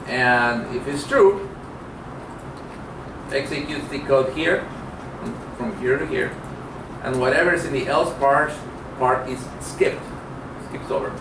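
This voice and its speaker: Middle aged guy teaching coding, slow n halting, kinda far from the mic, noisy background. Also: mid-range voice. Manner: halting, thinking aloud while typing. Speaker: programming instructor. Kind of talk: informal classroom lecture with live coding demo. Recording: roomy, distant mic, clear background noise.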